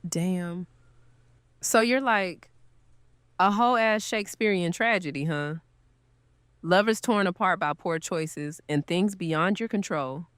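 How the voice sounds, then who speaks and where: A woman's voice: on the low side, takes it slowly, long pauses, fairly bright tone, smooth, no room sound, studio quality. A woman playing a blunt, breezy, good-humored friend in an audio drama, talking casually and irreverently. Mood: teasing.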